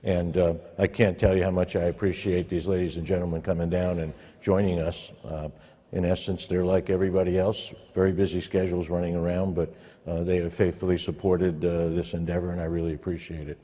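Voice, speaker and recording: Man, conference host, dark mellow low voice, halting informal delivery, long room reverb. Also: little pitch movement. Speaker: host introducing a panel as association president. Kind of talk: informal spoken introduction at a conference. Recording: echoey hall with long reverb.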